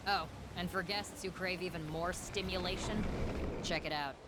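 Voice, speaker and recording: A woman's voice: on the low side, normal speed, heavy background noise, close to an everyday voice. An actress voicing a cheeky, upbeat, streetwise young woman fixer in a video game, chatty and slangy. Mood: matter-of-fact, explaining. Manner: slangy, breezy, expressive. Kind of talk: English video game voice acting.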